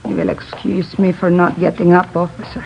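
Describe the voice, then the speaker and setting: A muffled old radio drama recording with faint noise. a middle-aged Swedish immigrant mother with a lowish voice and a Swedish accent. She speaks politely and haltingly, weary and shaken. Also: level tone, creaky. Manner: halting, simple non-native phrasing. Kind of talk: 1950s radio drama acting.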